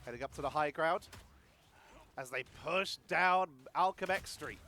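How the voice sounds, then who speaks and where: A man's voice: fairly high pitch, measured pace, sharp tone, a little hiss or hum. A man with a cold-stuffed nasal voice giving solo play-by-play commentary on an esports match, chatty and thinking aloud between calls. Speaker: esports caster. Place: home setup.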